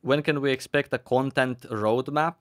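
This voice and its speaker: A man hosting a livestream panel on a headset mic, reading viewer questions aloud with many pauses and fillers. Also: medium pitch, fairly even intonation, a bit bright, no room sound, clean studio sound. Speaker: host.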